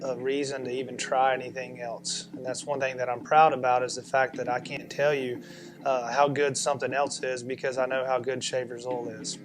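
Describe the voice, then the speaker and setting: A man's voice: medium pitch, little pitch movement, normal speed. A man, a race car driver, giving an earnest sponsor testimonial in an ad. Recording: ad with a loud music bed.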